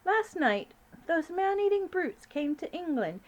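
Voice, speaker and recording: A woman voicing a commanding, brisk queen who speaks in a crisp, imperious way. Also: very high voice, very animated, voice pushed up, heavily acted.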